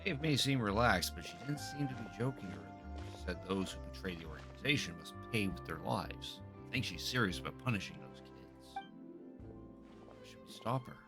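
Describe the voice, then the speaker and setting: A man's voice: fairly low pitch, expressive, normal speed. A man streaming a game, reading dialogue aloud with casual commentary. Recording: game music clearly behind the voice.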